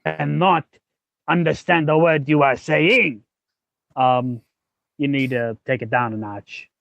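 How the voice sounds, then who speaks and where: A man hosting a podcast, giving casual advice in a choppy, halting cadence. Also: fairly high pitch, creaky, no echo. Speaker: podcast host. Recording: dull, lo-fi close audio.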